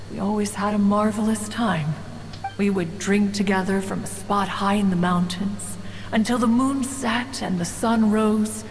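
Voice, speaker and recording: A woman's voice: mid-range voice, slight background noise, close to an everyday voice. A woman voicing a proud, ancient female immortal crane in a game, speaking in pompous, archaic, formal phrases. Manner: pompous, archaic formal diction, refers to self as "one". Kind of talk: scripted game dialogue, theatrical storytelling. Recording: long hall reverb, music behind.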